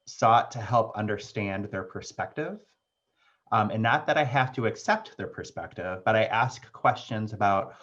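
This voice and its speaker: A man in his forties answering thoughtfully on a panel, careful and measured with many pauses and ums. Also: fairly low pitch, fairly bright tone. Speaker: alumni panelist. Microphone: video call. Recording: dull video call audio.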